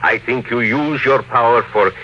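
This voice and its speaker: An actor playing a bitter, vengeful engineer in a 1950s radio drama, speaking with formal, deliberate menace. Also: male voice, mid-range voice, bright, forceful tone, dull audio, a little hiss or hum, close to an everyday voice. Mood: bitter, controlled. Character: bitter, grave male engineer, coolly vengeful. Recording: humming vintage radio recording.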